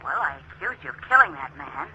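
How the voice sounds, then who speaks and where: An actress playing an earnest, anxious, affectionate sweetheart in a 1940s radio crime drama, as if on the telephone. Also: female voice, low-pitched, very animated, quick pace, bright, forceful tone, slight background noise, exaggerated voice. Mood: apologetic. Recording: thin, muffled old radio telephone voice.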